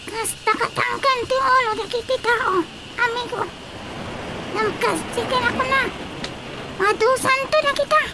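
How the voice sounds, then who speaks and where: A woman's voice: extremely high pitch, measured pace, long pauses, bright, forceful tone, exaggerated voice. A woman voicing a talking sea fish that grumbles and scolds in exclamations, in a comic radio drama. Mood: indignant. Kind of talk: radio drama comedy acting. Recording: clearly noisy recording.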